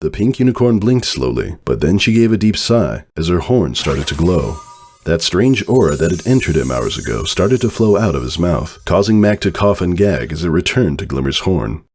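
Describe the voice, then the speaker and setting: A man's voice: deep voice, big pitch swings, normal speed, darkish tone, a little hiss or hum. A man narrating a story as a dramatic, scripted reading. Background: background music.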